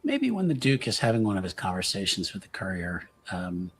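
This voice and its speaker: A man's voice: sits low, wildly swooping pitch, fairly quick, slight background noise. A man taking part in a tabletop roleplaying game as a player, casually describing what his character does.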